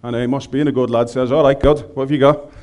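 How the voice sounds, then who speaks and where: A man's voice: medium pitch, fairly even intonation, fast talker, a little mellow. A man with a Scouse accent preaching a sermon in a chatty, joking way, speaking into a microphone in a large room. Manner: humorous, conversational, colloquial.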